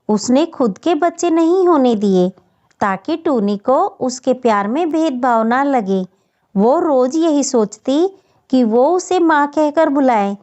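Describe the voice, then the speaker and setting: A woman's voice: sits high, darkish tone. A woman reading a scripted children's story as its narrator. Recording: dull, muffled lo-fi audio.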